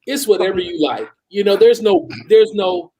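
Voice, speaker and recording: A man's voice: high voice, lively intonation, moderate pace, warm tone. An American man in his forties chatting casually as the wine expert guest on a panel show. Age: 40s.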